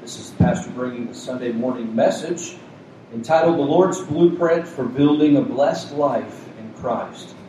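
A man's voice: mid-range voice, normal speed, dark tone, very echoey, distant mic, low-quality recording. A man preaching a Sunday sermon as a pastor, with a soothing voice and a conversational, joking manner. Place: community center meeting room with a cooling unit. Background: faint hum of a cooling unit.

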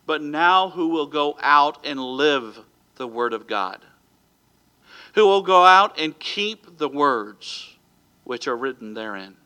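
Man, American pastor, bright edge, earnest sermon delivery with occasional restarts. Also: on the high side. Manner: earnest preaching, some restarts and stumbles. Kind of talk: sermon ending in prayer. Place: church sanctuary.

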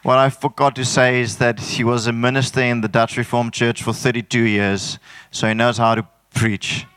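A man hosting a church service, introducing a guest speaker in a casual, steady way. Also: mid-range voice, moderate pace, clean tone. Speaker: church host.

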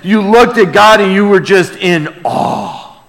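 A man's voice: high voice, expressive, takes it slowly, fairly bright tone. A man preaching a sermon, speaking emphatically with repeated key words and rhetorical questions. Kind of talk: expository sermon. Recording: hall with long reverb.

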